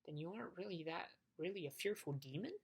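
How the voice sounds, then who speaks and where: A woman's voice: on the low side, lively intonation, husky. A woman reading the lines of an earnest young boy in a storybook. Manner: earnest and quick.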